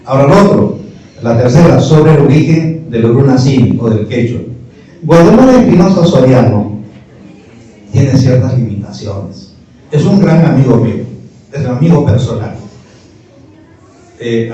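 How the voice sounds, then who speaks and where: A man's voice: mid-range voice, warm tone. A middle-aged man from Peru, giving a didactic panel talk that argues point by point with rhetorical questions. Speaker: speaker at a history panel. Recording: distant, echoey, muffled room recording.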